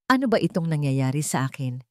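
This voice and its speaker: A woman's voice: low-pitched, big pitch swings, brisk, dry recording, clean studio sound, voice pushed down. Woman voicing a timid, polite young female assistant. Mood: troubled, torn. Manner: hesitant, polite, earnest. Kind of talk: Tagalog romance audio drama.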